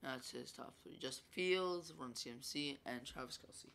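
A young man commentating his own gameplay in a loose, rambling way, full of ums and asides. His voice is bright but stuffy and congested. He talks into a desk mic over the game. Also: medium pitch, normal speed, creaky, a little hiss or hum. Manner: chatty, rambling, filler-heavy. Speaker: gaming YouTuber.

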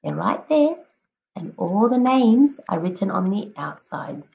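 A woman teacher reading a picture book aloud to children, expressively, addressing them directly and encouragingly with drawn-out emphatic words. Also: medium pitch, very animated, creaky, a little mellow, highs cut off. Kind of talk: expressive children's read-aloud with prompting questions.

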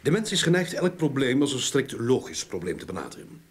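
An actor playing a self-assured, courteous private detective in a radio play, polite and persistent. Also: male voice, mid-range voice, very animated, a little fast, slightly rough. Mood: musing, confident. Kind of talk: Dutch-language radio play acting. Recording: noisy old radio drama recording.

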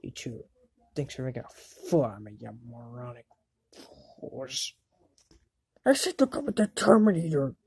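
Woman doing a bratty hot-tempered cartoon boy wizard, slow and sneering, mellow low voice. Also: very animated, vocal fry, breathy edge, exaggerated voice. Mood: angry, contemptuous. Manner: sneering, exasperated. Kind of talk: amateur cartoon fandub acting.

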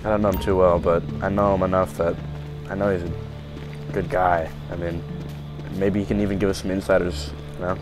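A low-pitched male survivor, acted by a man in a game roleplay, earnest and unsure of himself. He talks casually and haltingly, hedging as he goes, with game music playing under his voice. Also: level tone, close to an everyday voice. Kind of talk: improvised roleplay acting in a video game skit.

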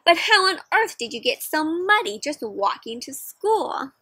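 A woman voicing a puzzled, mildly stern schoolteacher in a storybook reading, deliberate and questioning. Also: sits high, very animated, sharp tone, no room sound, voice pushed up, exaggerated voice.

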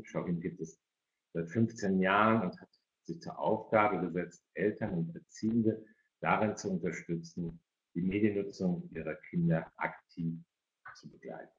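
A man's voice: sits low, normal speed. A middle-aged man moderating an online event over a video call. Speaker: host and moderator. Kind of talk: welcoming and moderating an online parents' evening. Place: indoor office on a video call. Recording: echoey room, dull video-call sound.

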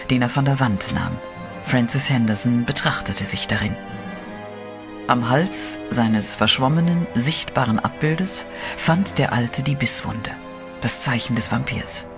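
A man narrates a horror radio play in a steady, dramatic manner. His voice sits in a middle range, and loud music runs beneath the telling. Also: mid-range voice, a little slow.

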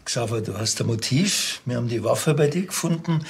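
An actor playing a weary, matter-of-fact police interrogator with a gravelly, raspy voice in a comic audio drama. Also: male voice, medium pitch, expressive, brisk, slight background noise. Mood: dry, pressing.

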